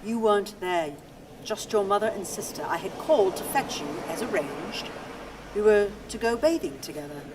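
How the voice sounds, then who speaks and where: A weary, detached wife played by an actress in a radio drama, speaking in cool, measured phrases. She sounds set back from the mic, with clear noise around her. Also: animated, natural voice. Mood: measured recollection. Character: weary, cool, matter-of-fact wife of a troubled man. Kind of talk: radio play acting. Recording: distant from the mic, noisy ambient rumble.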